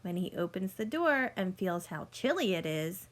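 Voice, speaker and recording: A woman reading a picture book aloud like a teacher, speaking deliberately to young listeners and explaining as she goes. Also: fairly low pitch, very animated. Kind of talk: read-aloud storytelling with teaching asides.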